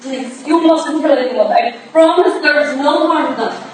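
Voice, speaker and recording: A woman's voice: high voice, measured pace. A woman playing a proud, desperate young foreign ballerina in a staged reading, urgent and defensive. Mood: startled, defensive. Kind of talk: staged reading of a period drama. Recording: distant, very echoey room, faint noise.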